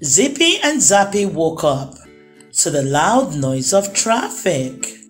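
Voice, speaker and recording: A woman's voice: on the low side, sing-song, takes it slowly. A woman narrating a children's story expressively, drawing out words for emphasis. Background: music.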